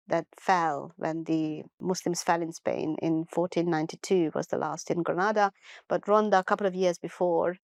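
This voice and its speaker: A woman's voice: fairly low pitch, darkish tone, dry recording, clean studio sound. A middle-aged woman from Hungary, telling her life story as an interview guest in a reflective way, often restarting and correcting herself. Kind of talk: personal life story told in conversation.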